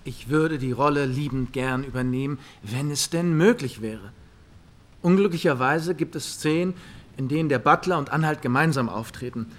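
An actor playing an eager, bossy young gentleman in a radio drama, breezy and insistent as he cajoles. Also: medium pitch, normal speed, close to an everyday voice.

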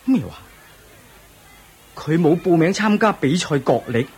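Casting: male actor as proud middle-aged king, very raspy, swooping delivery, Hong Kong Cantonese, theatrical radio drama. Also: a little high, unhurried, full character voice. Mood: questioning, uneasy. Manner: theatrical, regal, expressive. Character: proud, doting ancient Indian king, anxious about his heir.

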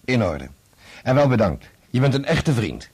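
An actor playing an indignant young conductor in a radio play, speaking in an insistent, combative, clipped way. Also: male voice, medium pitch, sing-song, moderate pace, darkish tone, slight background noise, close to an everyday voice. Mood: brisk. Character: indignant young conductor defending his dead father's name. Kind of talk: Dutch radio play acting.

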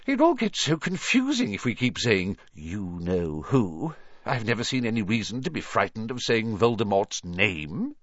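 A gentle old wizard as a male narrator plays him, unhurried and courtly, with a husky edge. His lines lilt expressively in a mildly whimsical storybook manner. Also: lowish voice, sing-song, dry recording, muffled recording. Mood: patient, reasonable. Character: calm, gentle, courtly old wizard headmaster, quietly amused.

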